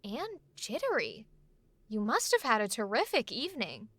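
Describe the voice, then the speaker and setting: A woman's voice: fairly high pitch, huge pitch swings, normal speed, a bit bright, no echo, exaggerated voice. A woman acting in an old-time radio drama as a pert, frank young lady with a teasing manner.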